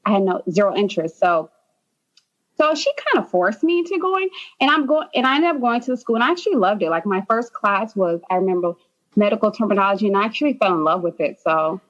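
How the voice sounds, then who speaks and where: A woman's voice: medium pitch, animated, quick pace, muffled recording. A young woman from Haiti, a nurse practitioner, chatting as a podcast guest with many "um"s and "like"s. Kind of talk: casual interview.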